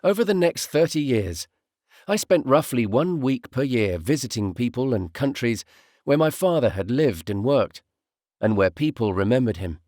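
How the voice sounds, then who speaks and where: A man reading a first-person audiobook narration in a measured storytelling way, in short, deliberate phrases. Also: medium pitch, lively intonation, darkish tone, no echo, studio quality.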